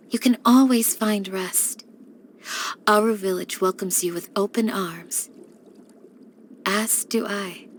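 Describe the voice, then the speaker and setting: A woman voicing a calm, devoted young village guardian in a video game, speaking in a measured, gentle and formal way. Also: medium pitch, a little hiss or hum, natural voice. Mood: warm, welcoming, then soft, reflective. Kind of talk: scripted game character voice lines.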